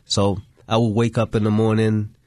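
A man from Haiti gives casual interview answers as a radio guest. His voice sits on the low side, and the studio sound is dry with little room around it. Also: flat delivery, moderate pace, a little mellow, no echo. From Haiti, moved to the US at ten. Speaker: radio guest, food business owner.

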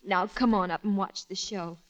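An actress in 1940s radio style playing a brisk, wisecracking young woman of the stage on a dull vintage broadcast. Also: mid-range voice, fairly even intonation, lightly acted. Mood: brisk, joking.

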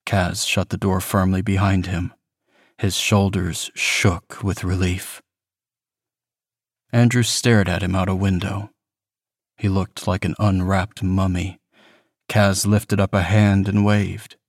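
A man's voice: low voice, no room sound, studio quality. A man from the southern US narrating a horror story from a script, as an audiobook reader would. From southern US, Louisiana.

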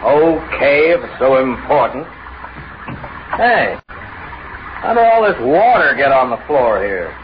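Plain-spoken, querulous husband, very animated pitch, vintage radio drama, muffled recording. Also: male voice, measured pace, pauses often, a little hiss or hum. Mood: puzzled, mildly irritated. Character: unsuspecting working-man husband and father, mildly irritable. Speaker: radio actor. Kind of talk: 1940s radio drama acting.

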